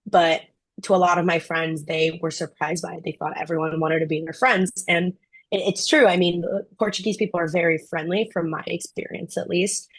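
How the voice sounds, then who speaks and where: A young woman from Brazil, a former intern answering questions in a relaxed chat, full of "like" and "um". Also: on the low side, a little fast, slightly rough, no echo, rough recording. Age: young adult. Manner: chatty, frequent "like" and "um" fillers. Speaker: program alum, student. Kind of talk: casual Q&A answers.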